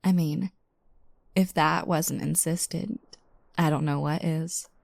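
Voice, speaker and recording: A woman playing a playful, teasing new girlfriend just waking up, speaking softly and intimately in a roleplay audio. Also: sits low, fairly even intonation, no echo, studio quality, full character voice. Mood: mock bossy, teasing. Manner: soft, breathy asides, teasing, unhurried.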